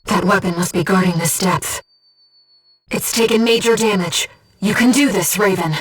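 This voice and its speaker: A gentle disembodied female being, played by a woman serves as a devoted mission guide in a video game. Her medium-pitched voice is composed and earnest, with precise phrasing, and sits a little away from the mic. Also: moderate pace, husky, recorded from a distance, lightly acted. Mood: calm, focused.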